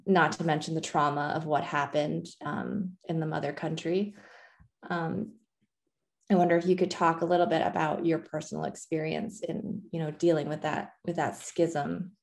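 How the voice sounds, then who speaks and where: A woman hosting a library author talk over a video call, asking measured questions with fillers. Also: low voice, darkish tone. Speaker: library event host. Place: home room.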